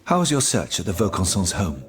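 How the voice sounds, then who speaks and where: A swooping, genteel French astronomer. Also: male voice, low-pitched, brisk, noisy background. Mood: reflective. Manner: expressive, fussy, genteel. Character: courtly, excitable, fatherly older French astronomer. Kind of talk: scripted video game cutscene dialogue.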